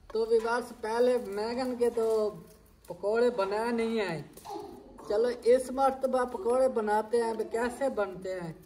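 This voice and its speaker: A man, greeting viewers in a friendly, brisk way and asking them to subscribe. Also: high-pitched, darkish tone, a little hiss or hum. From Pakistan. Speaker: co-host of a family vlog. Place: outdoor courtyard with brick walls.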